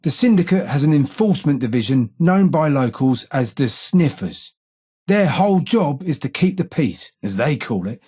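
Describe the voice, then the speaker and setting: An actor playing a gruff, streetwise London gang tough in a video game, with a rough gravelly British working-class accent and blunt, slangy, taunting delivery. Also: male voice, fairly high pitch, darkish tone. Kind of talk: video game character voice lines.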